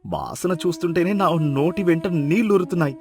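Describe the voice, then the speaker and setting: A man playing a lazy, food-loving young village husband with a whiny, exaggerated manner in an animated moral story. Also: fairly high pitch, a little fast, creaky, exaggerated voice. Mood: greedy delight. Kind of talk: acted moral story dialogue.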